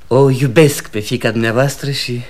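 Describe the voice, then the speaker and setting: An actor playing a polite, forthright young suitor in a radio comedy, earnest and courteous in manner. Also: male voice, mid-range voice, steady tone, darkish tone, natural voice. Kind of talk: theatrical radio comedy acting.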